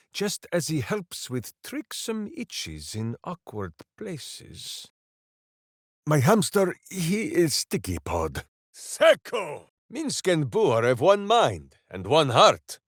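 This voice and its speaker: A hearty berserker hero for a video game, simple-minded and boastful. He declaims slowly and theatrically, swinging widely in pitch. The sound is close and dry. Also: dry recording, heavily acted. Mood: earnest, fond, then battle cry. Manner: bombastic, theatrical, speaks of himself in third person. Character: hearty, simple-minded, boastful heroic berserker warrior devoted to his hamster. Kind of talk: video game character voice lines.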